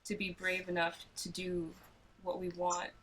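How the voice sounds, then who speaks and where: A woman's voice: fairly low pitch, completely flat delivery, unhurried, fairly bright tone, slight background noise, rough recording. A woman from the United States sharing reflective personal thoughts on a group video call. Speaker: group participant.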